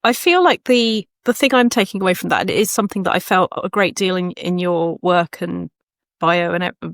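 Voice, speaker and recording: A woman's voice: lowish voice, a little fast, no echo, clean studio sound. A woman hosting a conversational podcast interview, speaking reflectively as if thinking a point through aloud. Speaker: podcast host, writing coach.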